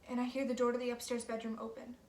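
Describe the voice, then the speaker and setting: A woman acting an uneasy young woman recalling her childhood in a naturalistic stage monologue, with hushed, conversational storytelling. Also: on the high side, completely flat delivery, rapid-fire, natural voice. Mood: haunted, hushed. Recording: roomy, distant mic, faint background noise.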